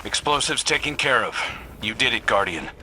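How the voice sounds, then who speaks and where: An actor playing a weary, regretful veteran warrior in a video game, speaking earnestly in a husky voice. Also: male voice, mid-range voice, expressive, normal speed, bright, forceful tone, slight background noise, poor audio.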